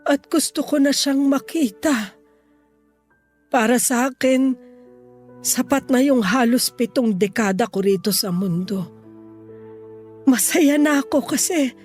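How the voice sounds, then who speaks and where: A woman playing a sick, tender grandmother of about sixty in a Tagalog radio drama, pleading gently and sorrowfully. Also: a little high. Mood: tender, resigned.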